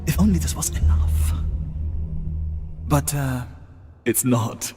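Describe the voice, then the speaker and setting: A man playing a gloating, sadistic villain commander in a video game, taunting and menacing in a drawn-out delivery. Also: mid-range voice, natural voice. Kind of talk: video game cutscene acting. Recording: loud dramatic music behind.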